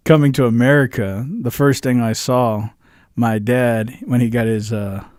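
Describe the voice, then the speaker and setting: A man from South Korea, co-hosting a livestream, talking in a rambling way with halting restarts. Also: mid-range voice, fairly even intonation, darkish tone, dry recording, clean studio sound. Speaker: co-host. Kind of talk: casual livestream chat.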